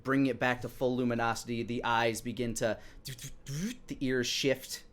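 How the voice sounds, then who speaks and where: A man in his thirties runs a tabletop role-playing game as game master. He narrates scenes on the fly in a mid-pitched voice. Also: little pitch movement, moderate pace, fairly bright tone. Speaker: game master and show host.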